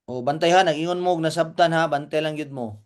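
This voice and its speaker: A man's voice: fairly high pitch, fast talker. A man with a Cebuano accent co-hosting a live training meeting, explaining informally, repeating points and checking that listeners follow.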